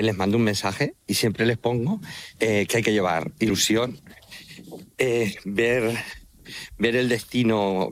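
A man with a Manchegan Spanish accent chatting as an interview guest, enthusiastic, halting and often repeating himself. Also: lowish voice, slight background noise. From Castilla-La Mancha, Spain. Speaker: interview guest, walking pilgrim guide. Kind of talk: casual interview talk. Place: outdoors, walking along a trail by an estuary.

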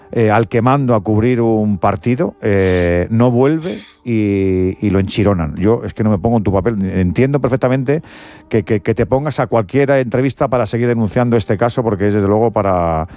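A man's voice: fairly low pitch, moderate pace, mellow. A man with a Castilian Spanish accent hosting a live sports radio show, speaking emphatically and repeating words as he searches for phrases. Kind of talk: live radio interview and commentary.

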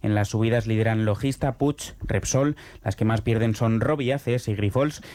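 A man giving a stock market report as financial reporter, in a steady, matter-of-fact tone. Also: lowish voice, moderate pace.

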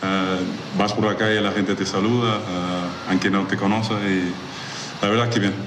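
A man's voice: lowish voice, flat delivery, normal speed. A young man from Lisbon with a Portuguese accent, a native Portuguese speaker giving unscripted player interview answers in a reverberant, noisy space. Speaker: athlete, team player. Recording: echoey reverberant hall, clear background noise.

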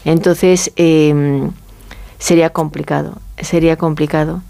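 A woman's voice: deep voice, flat delivery, a little slow, vocal fry, warm tone. A woman with a Spanish accent from Spain, explaining informally as an expert interview guest, often checking in with little tag questions. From Galicia, Spain. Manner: conversational, often seeks agreement with tag questions.

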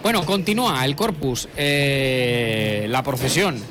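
A man's voice: medium pitch, slow pace. A middle-aged man from La Mancha, giving discursive live commentary on a procession with many fillers and restarts. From La Mancha, Spain. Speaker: broadcast commentator. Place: outdoors at a street procession. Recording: live street broadcast with crowd noise. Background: crowd.

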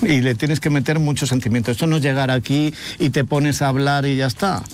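A man's voice: medium pitch, steady tone, a little fast, a little hiss or hum. A man teaching a voice dubbing class, explaining patiently and instructively.

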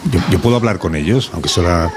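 A man interviewing children in a rural school classroom, chatty and playful, with many quick questions. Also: sits low, expressive, very noisy. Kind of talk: casual chat with children.

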